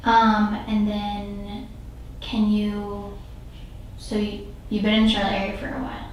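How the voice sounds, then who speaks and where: A young woman asks interview questions in a hesitant way, with plenty of fillers. Her voice stays flat and even. She sits away from the microphone in a room with a strong echo. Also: medium pitch, measured pace, lo-fi sound, slight background noise. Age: young adult. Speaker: student interviewer. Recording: roomy echo, speaker distant from the mic.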